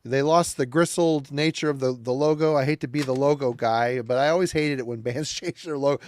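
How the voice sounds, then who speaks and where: A man's voice: medium pitch, a little fast, a little mellow. A man co-hosting a podcast, talking emphatically in casual chat, repeating himself and swearing casually. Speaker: podcast co-host. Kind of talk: casual chat about metal albums.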